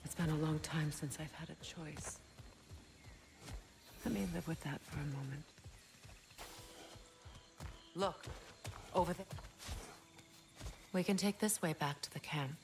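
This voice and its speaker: An actress playing a proud, wounded, mature warrior goddess in a video game, speaking in a measured, earnest and dignified way. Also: female voice, low voice. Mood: weary, reflective. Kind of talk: video game cinematic dialogue. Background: music.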